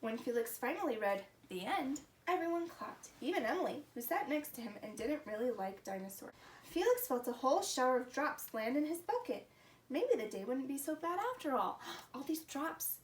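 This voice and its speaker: A woman hosting a story time for young children, talking in simple, animated, exclaiming phrases. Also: high-pitched, fairly quick. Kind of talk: expressive read-aloud to preschool children. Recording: roomy echo, distant mic, background noise.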